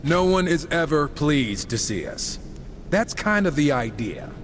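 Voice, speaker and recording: A man's voice: a little high, very animated, a little slow. An actor playing a wisecracking, impatient male horseman in a video game, sarcastic and flippant. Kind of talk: video game cutscene acting. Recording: long hall reverb, music behind.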